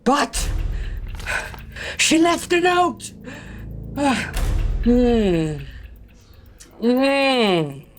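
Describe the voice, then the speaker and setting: Shrill cackling teenage vampire, falsetto. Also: male voice, very animated, slight background noise, full character voice. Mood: cackling, taunting. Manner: drawn-out, taunting delivery with cackles and hums. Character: gleeful teenage vampire Evil Ed in mocking falsetto. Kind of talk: dramatic horror script reading.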